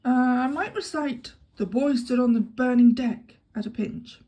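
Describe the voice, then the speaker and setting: A woman's voice: fairly high pitch, unhurried, mellow, pitched above the performer's own voice. A woman reading an audiobook, voicing a wry, easygoing young man working as a tour guide, in a dry, lightly ironic tone.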